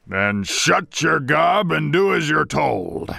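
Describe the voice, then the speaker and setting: A man's voice: fairly high pitch, sing-song, slow, creaky, sharp tone, dry recording, clean studio sound, pitched above the performer's own voice, full character voice. Man doing a growling pirate cook bully. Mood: menacing.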